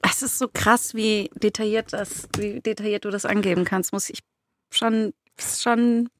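A woman's voice: mid-range voice, fairly even intonation, a little slow, no room sound. A woman co-hosting a podcast, chatting casually. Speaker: podcast co-host. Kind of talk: casual chat.

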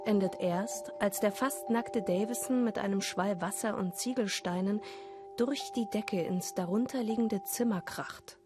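A woman's voice: mid-range voice, measured pace. A woman reading scripted anecdotal narration for a radio play. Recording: music very loud behind the voice. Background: loud music.

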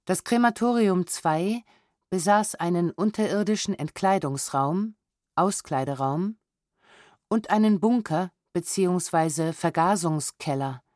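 A woman's voice: on the low side, unhurried, darkish tone, no echo. A woman narrating a scripted documentary audiobook in a formal, factual way, close to a studio microphone. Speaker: narrator announcing and reading documents.

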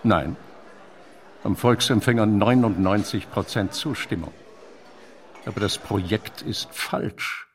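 A man's voice: lowish voice, slow, pauses often, a little hiss or hum. A man playing an earnest opera theorist in a radio play interview, speaking formally, learnedly and with emphasis. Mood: earnest, didactic. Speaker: interviewed author.

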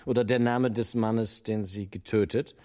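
A man's voice: on the low side, fairly even intonation, normal speed, a little mellow, natural voice. A man playing a brash, suspicious small-time crook in a dubbed film drama, blunt, sardonic and probing.

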